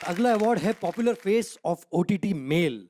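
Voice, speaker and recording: A man's voice: high-pitched, a little slow. Joking male emcee over crowd and music. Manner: joking, storytelling. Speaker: award show co-host. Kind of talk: stage emcee with scripted jokes. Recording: music and crowd behind stage voice.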